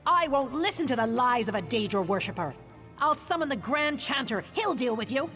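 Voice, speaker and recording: Devout game townswoman: highish, animated voice, quick to indignation, music behind. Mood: indignant. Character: pious, indignant female cult townsperson. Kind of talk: video game character dialogue.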